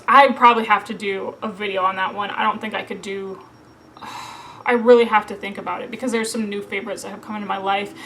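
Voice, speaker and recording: A woman's voice: mid-range voice, fairly bright tone, poor audio. A woman in her thirties from Minnesota, chatting casually to camera as a book vlogger, with lots of fillers and frequent laughs. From Minnesota, USA. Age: 30s.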